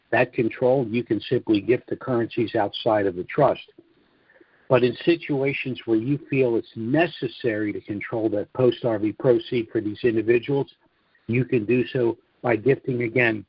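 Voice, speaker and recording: A man giving a scripted sales presentation on a conference call in a measured, explanatory manner, with muffled, roomy audio. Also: medium pitch, husky. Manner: measured, explanatory, asks and answers own questions.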